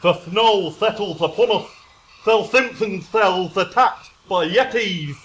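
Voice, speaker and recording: A male actor playing a gloomy, stubborn soldier with a deliberate, comically earnest manner in an audio drama. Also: high-pitched, a bit bright.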